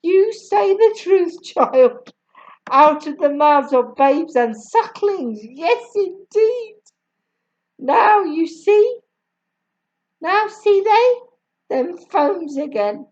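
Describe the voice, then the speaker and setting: A woman voicing a loud, laughing middle-aged Jamaican mother with a booming, sing-song manner. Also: lively intonation, extremely slow, lo-fi sound, exaggerated voice. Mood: boisterous. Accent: Jamaican (put on).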